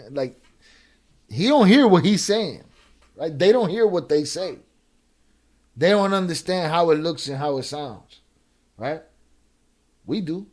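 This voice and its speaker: Animated man doing live reaction commentary. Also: fairly high pitch. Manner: animated, sarcastic, drawn-out restarts. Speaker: reaction streamer.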